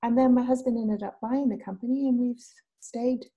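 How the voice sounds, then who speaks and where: A middle-aged Canadian woman talks easily as a guest, her voice mellow and warm. She rambles a little, with ums and restarts, and keeps the tone relaxed. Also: a little high, moderate pace, highs cut off. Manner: relaxed, chatty, laughs often, many ums and restarts. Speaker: guest entrepreneur. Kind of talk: casual interview chat.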